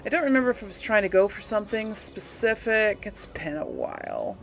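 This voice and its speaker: A woman's voice: mid-range voice, wildly swooping pitch, brisk, vocal fry, slight background noise. A woman hosting a casual let's play, musing and thinking aloud as she goes. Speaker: gaming video host. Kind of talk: casual let's play commentary, some role-played replies.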